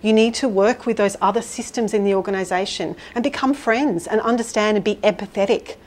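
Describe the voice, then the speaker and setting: A woman giving a conference talk and answering questions, speaking loudly and energetically in a chatty way. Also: medium pitch, fairly quick. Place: event hall with audience.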